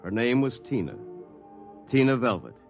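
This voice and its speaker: An actor narrating a 1950s radio drama as a wry, hapless young lawyer with a dry, deadpan delivery. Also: male voice, medium pitch, normal speed, vocal fry. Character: wry, hapless young lawyer telling his own story. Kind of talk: 1950s radio acting, first-person narration. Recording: 1950s radio recording with music behind.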